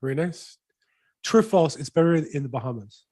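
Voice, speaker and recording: A man's voice: a little high, animated, fairly quick, a little mellow. A man hosting a weekly real estate video call, upbeat and enthusiastic, asking long rambling questions. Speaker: host, real estate broker. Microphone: video call mic.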